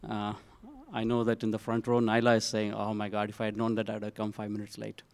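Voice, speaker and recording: A man moderating a business panel at a conference, introducing the speakers to the audience in a relaxed, halting way with many fillers. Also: lowish voice, vocal fry, no room sound. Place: conference session room.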